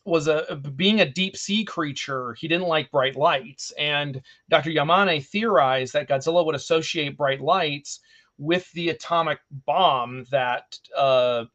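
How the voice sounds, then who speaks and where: A man's voice: a little high, fairly bright tone. A man in his thirties chatting casually and jokily on an art livestream, with rambling asides and playful exclamations. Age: 30s. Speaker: artist hosting an art livestream. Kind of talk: casual livestream chat while drawing.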